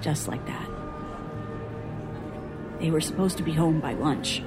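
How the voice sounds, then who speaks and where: An actress playing a bitter, guarded young woman, a traumatized survivor, in an audio drama, husky and sardonic. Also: deep voice, lively intonation, measured pace, over loud music. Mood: haunted, subdued. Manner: sardonic, defensive.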